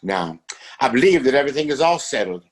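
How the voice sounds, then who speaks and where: A man playing a domineering, self-righteous father who runs a Western town, in an audio drama, with formal, imperious speech and a husky voice. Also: mid-range voice, big pitch swings, quick pace. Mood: smug, self-satisfied.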